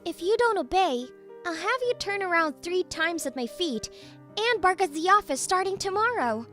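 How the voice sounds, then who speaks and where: A bossy, teasing young lady boss from a manga dub played by a woman. Her voice is extremely high and leaps around in pitch as she needles and gives orders. Light music runs behind her. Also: animated, moderate pace, a bit bright. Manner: commanding, needling. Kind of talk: anime-style manga dub acting.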